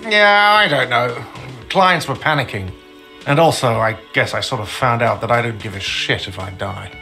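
Bright, jaded cafeteria manager. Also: male voice, medium pitch, moderate pace, gravelly. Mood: jaded, resigned. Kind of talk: video game character acting. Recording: music behind the voice.

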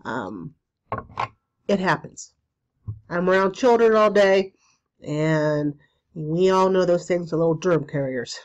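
A woman's voice: lowish voice, a little mellow, dull audio. Stuffy-voiced woman with a cold. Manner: chatty, many ums and trailing pauses. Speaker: spiritual podcast host. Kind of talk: casual solo podcast talk. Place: small room indoors.

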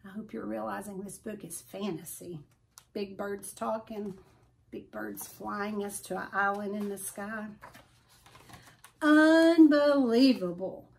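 A middle-aged woman reading a children's story aloud with deliberate, expressive emphasis. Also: medium pitch, gravelly, a little hiss or hum. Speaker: storybook reader. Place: small furnished room at home.

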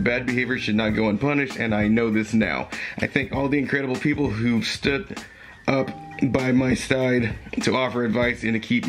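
A young man with a voice going hoarse, speaking earnestly and haltingly with fillers and restarts, recorded close on a webcam in a small room at home. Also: medium pitch, a bit bright. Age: young adult. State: losing his voice, hoarse. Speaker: commentary YouTuber. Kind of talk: reading aloud and commenting.

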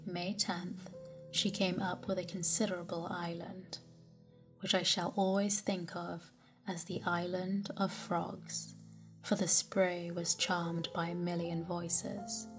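A woman narrating a classic sailing memoir as a sleep story, reading slowly and softly and dropping into whispers at times. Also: on the low side. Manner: slow, soft, calm reading with whispered stretches. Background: soft music.

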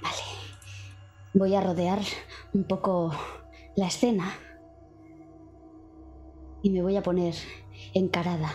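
A woman's voice: lowish voice, flat delivery, slow pace, pauses often, mellow, muffled recording, soft background music. A woman with a Castilian Spanish accent casually narrating her character's actions as a player in a tabletop game. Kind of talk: casual first-person roleplay.

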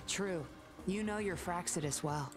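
Yinlin, a teasing sly spy. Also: female voice, fairly low pitch, lively intonation, slow. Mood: confident. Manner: playful, teasing, smooth. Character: teasing sly young female undercover agent. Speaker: game character. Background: game music.

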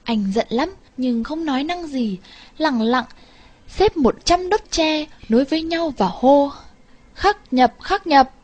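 A woman narrating a scripted fairy tale as an expressive storyteller. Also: high-pitched, a little slow.

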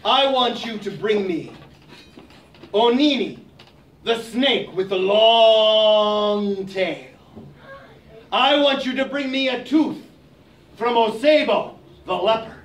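A man voicing a solemn sky god who lays out demands slowly and deliberately. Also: high voice, a bit bright. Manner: slow, deliberate. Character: slow, commanding male sky god. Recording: roomy, from a distant mic.

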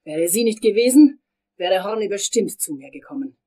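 An actress playing a vengeful, unhinged dental assistant turned killer in a German crime radio drama, with dramatic pauses. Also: female voice, mid-range voice, animated, mellow, breathy edge. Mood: cold, gloating. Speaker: voice actor.

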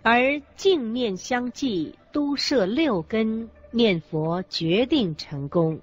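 A woman acting as a measured, expressive narrator of Buddhist teaching in a scripted radio programme. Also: a little high. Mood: measured, devout. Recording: dull lo-fi recording with faint music.

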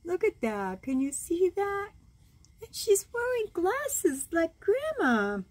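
A woman in her sixties reading a bedtime storybook aloud as a grandmother, gentle and expressive, cooing over the pictures. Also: extremely high pitch, slow pace. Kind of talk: read-aloud bedtime story with side comments. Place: small room at home, indoors.

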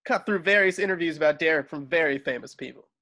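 A man narrates stage directions for a home table read. His voice is on the higher side, and he sometimes trips on a word and corrects himself. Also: fast talker, bright, forceful tone. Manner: stumbles over words, corrects himself. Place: home, on a group video call.

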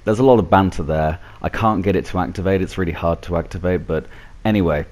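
Deep-voiced man commentating on gameplay. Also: quick pace, a little mellow. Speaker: gaming video creator. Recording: clear game noise behind the voice. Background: game ambience.

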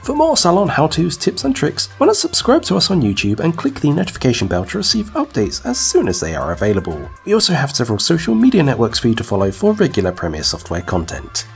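A man presenting a scripted software tutorial voice-over in a clear, upbeat instructional way. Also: fairly high pitch, wildly swooping pitch, fast talker, hardly any pauses, faint music behind. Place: voice-over over screen recording.

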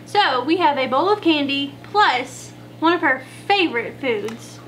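High-voiced young woman, giggly and playful. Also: animated, a little slow, fairly bright tone. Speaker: fishing vlogger, co-host. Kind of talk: casual on-camera vlog chat. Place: outdoors by a lake, then inside a house. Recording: noisy camera audio.

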